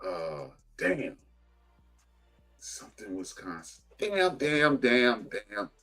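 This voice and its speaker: A man's voice: medium pitch, takes it slowly, faint music behind. A man from the Chicago area, casual podcast co-host, talking animatedly and trailing off when searching for a word. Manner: animated, loud bursts when excited, trails off searching for words. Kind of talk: casual chat.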